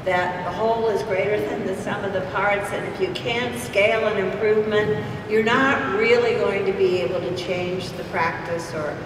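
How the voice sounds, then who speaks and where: A woman's voice: mid-range voice, steady tone, normal speed. Older woman introducing a speaker in a hall. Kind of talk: formal introduction speech. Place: large hall on a stage. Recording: echoey hall, distant mic, background noise.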